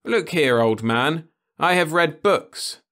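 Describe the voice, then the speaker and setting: A man's voice: a little high, lively intonation, slow, fairly bright tone, voice pushed up, full character voice. A man doing an earnest, insistent young man.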